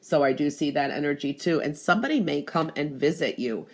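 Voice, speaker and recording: A woman with a stuffed-up, congested voice giving an intuitive spiritual reading, pausing often with "um" and repeating that she feels the energy. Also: low-pitched, lively intonation. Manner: hesitant, frequent "um" and "I do feel that energy". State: congested from allergies. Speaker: tarot and gemstone reader.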